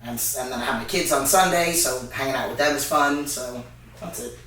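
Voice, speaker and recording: A woman's voice: rumbling low, a bit bright. Woman in her forties, echoey distant mic. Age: 40s. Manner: unhurried, loose, many filler sounds. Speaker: fitness co-host. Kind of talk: casual Q&A chat. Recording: echoey room, mic far off.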